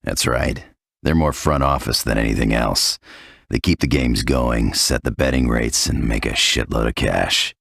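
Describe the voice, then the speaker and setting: An actor playing a cynical, hard-bitten undercover tough guy in a video game, gravelly and husky, with a blunt, sardonic delivery. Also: extremely low pitch, a little slow, a bit bright, dry recording, exaggerated voice. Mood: dry, cynical. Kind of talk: video game cutscene acting.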